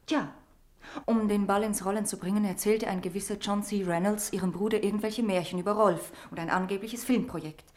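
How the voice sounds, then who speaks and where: A woman's voice: mid-range voice, steady tone, brisk, a little mellow, lightly acted. An actress playing a frightened young woman with an addiction, confessing earnestly in in a radio crime drama. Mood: confessional, tense.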